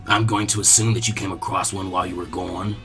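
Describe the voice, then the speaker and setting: A man voicing a gruff, menacing ninja swordsman in an audiobook-style story, with a stern, warning growl. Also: low voice, flat delivery, quick pace, fairly bright tone, faint music behind, pitched below the performer's own voice. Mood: cold, demanding.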